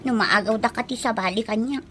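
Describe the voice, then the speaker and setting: Woman as a high-voiced, possessive Ilocano wife. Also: brisk, lightly acted. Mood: possessive, affectionate. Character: jealous, possessive, sweet-talking wife. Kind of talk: comedic radio drama acting.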